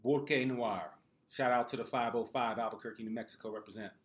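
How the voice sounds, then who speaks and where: An American man reciting spoken word poetry with rhythmic, deliberate phrasing. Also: mid-range voice, steady tone. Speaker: poet.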